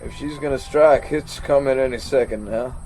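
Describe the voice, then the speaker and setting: An actor playing a haunted American soldier, telling his story in a halting, stammering dramatic monologue with an American accent. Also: mid-range voice, a little mellow, slight background noise, lightly acted, low-quality recording. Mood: tense, hushed. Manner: halting, stammering, repeats words. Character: haunted male American soldier recounting a deadly standoff. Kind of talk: dramatic first-person monologue.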